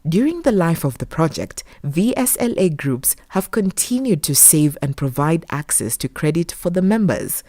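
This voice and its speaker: Woman doing formal documentary voiceover, low warm voice, really dry close recording. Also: no room sound. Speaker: documentary narrator, voice-over artist. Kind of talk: scripted narration.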